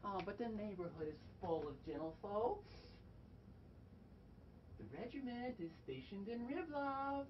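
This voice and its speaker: A woman's voice: mid-range voice, lively intonation, a little slow, pauses often, highs cut off, natural voice. A woman on stage playing a fussy, pleading elderly servant in a dramatic, exclamatory manner. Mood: excited, coaxing. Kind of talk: theatrical stage acting. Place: theatre stage, picked up from a distance. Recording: distant stage recording with clear background noise.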